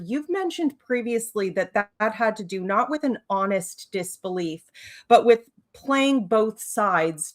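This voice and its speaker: Deliberate woman on a panel. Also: mid-range voice. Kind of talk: casual panel discussion.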